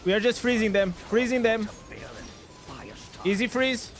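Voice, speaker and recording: A man with a high voice for a man streams a game live, narrating his play in English. He bursts into excited reactions over loot and chats casually with his viewers. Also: measured pace, soft background music. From Portugal. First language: Portuguese. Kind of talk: live gameplay commentary.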